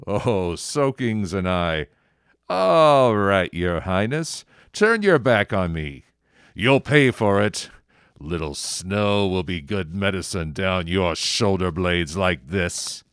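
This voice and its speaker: An actor playing a cruel, well-dressed idler in an audio drama, taunting and sneering. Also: male voice, deep voice, animated, slow, fairly bright tone, clean tone, no echo, studio quality, full character voice. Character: mocking male idler, cruel gentleman.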